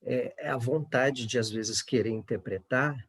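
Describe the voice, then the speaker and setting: A man's voice: mid-range voice, unhurried, breathy edge. A middle-aged Brazilian man speaking informally in a panel discussion, in a discursive way that often seeks agreement.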